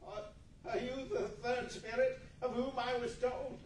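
A man playing an anxious, halting, pleading old miser in a 1940s-style radio play. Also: very high voice, level tone, a little mellow. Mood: fearful. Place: large meeting hall before an audience. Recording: distant echoey room with faint noise.

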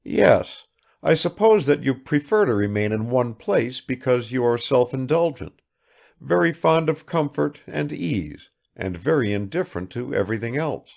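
A man's voice: mid-range voice, lively intonation, normal speed, creaky, darkish tone, pitched above the performer's own voice. Man acting blunt, brooding young sceptic, emphatically swooping. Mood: probing, blunt. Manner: harsh, muttering, argumentative. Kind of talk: dramatised literary audiobook dialogue.

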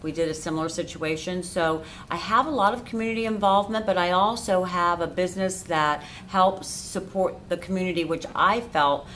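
A woman's voice: lowish voice, brisk, hardly any pauses, a bit bright, a little hiss or hum. A middle-aged American woman from Florida giving conversational answers as an interview guest. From Florida, United States. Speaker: interview guest, cinema cafe owner. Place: inside a dim cafe theater.

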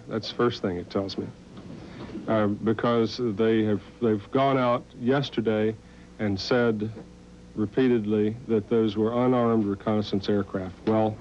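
An American man in his forties giving a blunt, deliberate press briefing from a podium. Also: fairly low pitch. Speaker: Pentagon spokesman. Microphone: podium mic. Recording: muffled archival tape, faint noise.

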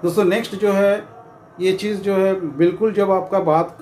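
A man's voice: high voice, fairly even intonation, normal speed, darkish tone. A middle-aged man giving an informal instructional talk to camera in a repetitive, explanatory way, with frequent filler phrases. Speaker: presenter of security training videos. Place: small indoor room with curtains. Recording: echoey room with faint background noise.

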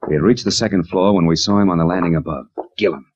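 Deep-voiced hard-boiled American detective. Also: male voice, quick pace, darkish tone, slightly rough. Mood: brooding, wry. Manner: clipped, slangy, sardonic delivery. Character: hard-boiled, wry, world-weary male private detective. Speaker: lead actor and narrator. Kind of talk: 1940s radio detective drama acting. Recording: muffled old radio recording.

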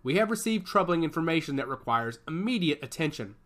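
A man voicing a grave older male rebel leader, measured and solemn. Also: on the high side, sing-song, fairly bright tone.

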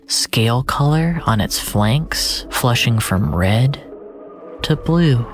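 A man tells a dramatic story at a slow, deliberate pace. His voice sits at a medium pitch and often turns breathy, close to a whisper. The delivery is solemn and literary, with prominent music underneath. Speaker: narrator. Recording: narration over a music bed.

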